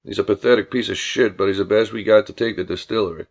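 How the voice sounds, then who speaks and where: Man putting on a low, drawling, swaggering gang boss. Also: steady tone, quick pace, muffled recording. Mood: menacing. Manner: drawling, mock-courteous, domineering. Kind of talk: audiobook character voice.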